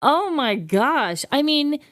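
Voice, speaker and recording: A woman's voice: high-pitched, very animated, takes it slowly, fairly bright tone, dry recording, clean studio sound. A woman co-hosting a podcast in casual chat, with an informal, blunt way of talking. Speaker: podcast co-host.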